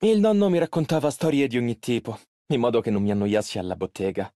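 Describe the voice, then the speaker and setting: A man's voice: medium pitch, quick pace, mellow, no room sound, studio quality, close to an everyday voice. An actor playing a polite, earnest and easily flustered young male tailor in an Italian game dub. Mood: calm, reflective. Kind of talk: video game character voice lines, Italian dub.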